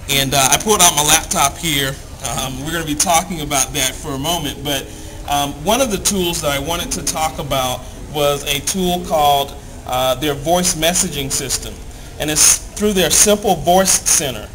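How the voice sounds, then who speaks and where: Higher-voiced man explaining things informally. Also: poor audio. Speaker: guest explaining tools.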